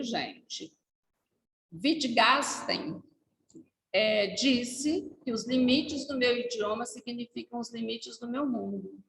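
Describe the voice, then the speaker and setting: Slow-speaking woman in an echoey hall. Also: a little high. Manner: gentle, explanatory, with light asides. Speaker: spiritist lecturer. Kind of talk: unhurried didactic talk to a congregation. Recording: echoey hall with long reverb.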